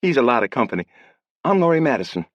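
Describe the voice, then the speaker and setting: A man's voice: mid-range voice, very animated, fast, no room sound, voice pushed up, close to an everyday voice. A man voicing a wary, bossy young woman on a frontier ranch. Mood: earnest. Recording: dull lo-fi dry audiobook recording.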